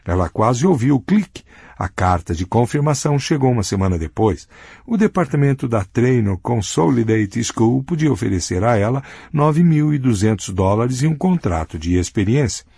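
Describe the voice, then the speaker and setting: A man with a husky voice reading a novel aloud as an audiobook narrator, giving characters small voice shifts in dialogue. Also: medium pitch, very animated, moderate pace, mellow, no echo, clean studio sound. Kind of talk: scripted narration.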